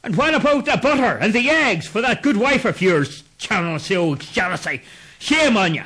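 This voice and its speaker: A man's voice: sits high, big pitch swings, moderate pace, sharp tone, husky, voice pushed up, full character voice. A man voicing a crowd of mocking headless ghost men who scold and jeer. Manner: scolding, jeering.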